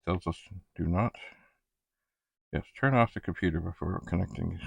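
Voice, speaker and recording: A man thinking aloud in a halting, casual ramble as he hosts a hands-on hobby demonstration, muttering to himself. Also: sits low, creaky, slightly rough, dry recording. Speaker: retro computer hobbyist host. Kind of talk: casual rambling demonstration while testing equipment.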